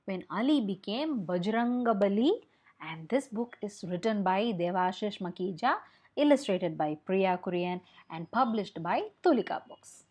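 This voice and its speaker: A young woman with an Indian accent telling a picture-book story to children, explaining as she goes and asking questions in an expressive way. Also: mid-range voice. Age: young adult.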